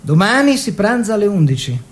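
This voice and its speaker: Proud, stubborn painter husband, swooping intonation. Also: male voice, high voice, sing-song, brisk. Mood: bickering. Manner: opinionated, bickering, fussy. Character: proud, stubborn Italian painter husband who loves food. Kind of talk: theatrical two-hander, letters and dialogue.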